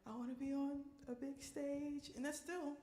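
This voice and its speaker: A woman's voice: high voice, little pitch movement, normal speed, mellow. American woman hosting in an echoey room. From New Orleans, USA. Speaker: singer and park ranger hosting a concert Q&A. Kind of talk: casual talk to a live audience. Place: indoor museum performance space. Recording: echoey room, live event microphone.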